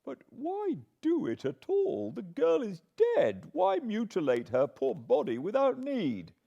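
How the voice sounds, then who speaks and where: A man's voice: high voice, wildly swooping pitch, unhurried, creaky, warm tone, lo-fi sound, pitched above the performer's own voice, exaggerated voice. A man voicing an earnest younger doctor in a gothic novel, grieving and indignant, articulate and urgent in his pleading. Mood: indignant protest.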